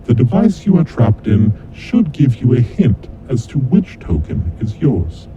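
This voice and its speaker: A man acts out a menacing male kidnapper from a horror audio drama, cold and vengeful. He delivers his rules with theatrical, deliberate formality, his mid-pitched voice rising and plunging dramatically. The recording is dull and lo-fi, with clear rumbling noise behind him. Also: sing-song. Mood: cold, instructing. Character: menacing male kidnapper mastermind, coldly vengeful game announcer.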